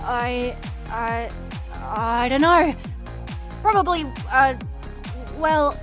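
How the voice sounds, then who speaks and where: A woman's voice: sits high, drawn-out words, a bit bright, soft background music, exaggerated voice. A woman in an audio drama playing a fiery, foul-mouthed convict killer in her thirties, blunt and easily indignant. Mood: hesitant. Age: 30s.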